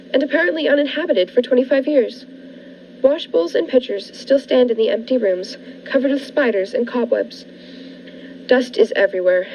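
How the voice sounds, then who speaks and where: A trapped, fearful wife played by a woman in an old radio thriller, with a very high voice and theatrical, anxious delivery. The audio is dull and lightly noisy. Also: a little mellow, natural voice. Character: frightened, trapped wife writing a despairing diary. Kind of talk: 1940s radio thriller acting. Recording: dull lo-fi radio-play audio, faint noise.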